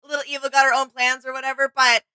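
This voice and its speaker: A woman's voice: high-pitched, little pitch movement, very fast, bright, forceful tone, clean tone. A woman co-hosting a casual reaction video, chatting informally and agreeably as she chimes in. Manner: agreeable, chiming in. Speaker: co-host of a reaction channel. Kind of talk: casual chat.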